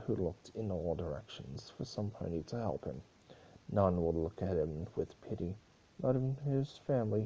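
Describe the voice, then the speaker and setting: A man's voice: deep voice, dark tone, husky, rough recording. A man narrating fan fiction as an amateur dramatic reader, occasionally stumbling over the words.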